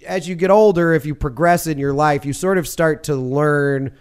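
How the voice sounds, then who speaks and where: A man's voice: fairly high pitch, normal speed, clean tone. A man hosting a sports podcast, talking alone in a rambling, jokey way and often correcting himself mid-sentence. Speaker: podcast host. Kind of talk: casual sports podcast monologue.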